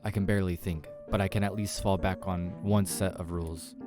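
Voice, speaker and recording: Low-voiced man narrating a horror game. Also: steady tone, brisk. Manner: stumbles over words and rereads them. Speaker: let's player reading a visual novel aloud. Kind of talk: dramatic narration of game text, voicing characters. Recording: music under the voice. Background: game background music.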